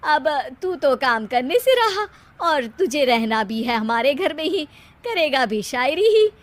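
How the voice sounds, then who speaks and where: A woman's voice: sits high, very animated, a little slow. Woman playing a weary, sarcastic cartoon father. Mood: drily sarcastic. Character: weary, drily sarcastic middle-aged father.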